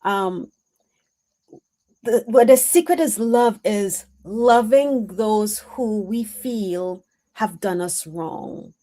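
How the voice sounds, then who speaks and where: A woman giving an intuitive spiritual reading on a live stream, answering her chat in a reflective, halting way with many pauses and "um"s. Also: medium pitch, mellow. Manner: slow, reflective, halting with frequent "um"s.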